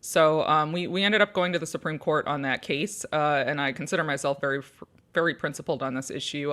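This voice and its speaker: A woman's voice: a bass voice, gravelly, fairly bright tone. A woman from the United States, a lawyer appearing as a guest, answering in an articulate, earnest way with frequent fillers. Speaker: civil liberties lawyer, guest. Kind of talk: interview answers.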